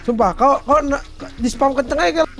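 Male gaming buddy, high-pitched, slangy and repetitive, clear music underneath. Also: brisk, fairly bright tone. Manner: slangy, instructing, repetitive, uses vocal sound effects. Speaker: gaming companion. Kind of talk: casual gameplay banter.

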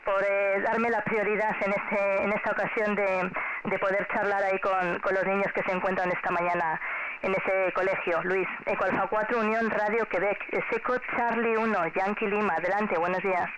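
A woman's voice: medium pitch, fairly even intonation, normal speed. A woman with an accent from Spain talking courteously and at length as an amateur radio operator, heard over shortwave radio. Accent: Spanish from Spain. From Galicia, Spain. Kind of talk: ham radio contact, friendly chat with callsigns. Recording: noisy, dull shortwave radio reception.

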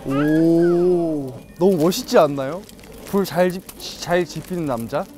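A man's voice: on the high side, slow pace, warm tone, slight background noise. A young man on a reality show joking around and hamming it up at an outdoor camp. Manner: playful and jokey, hams it up. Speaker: cast member at camp. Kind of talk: casual reality-show chat. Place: outdoor glamping site by a tent. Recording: background music under speech.

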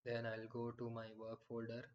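A man explaining software in a screen-recorded tutorial, with frequent fillers and restarts. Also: medium pitch, monotone, normal speed, highs cut off, a little hiss or hum.

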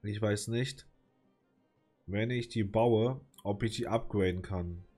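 A man's voice: lowish voice, takes it slowly, warm tone, soft background music. German man with a cold, rambling. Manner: rambling, hesitant, lots of filler, mild swearing. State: has a cold, clears throat. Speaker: let's play gamer. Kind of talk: casual gameplay commentary.

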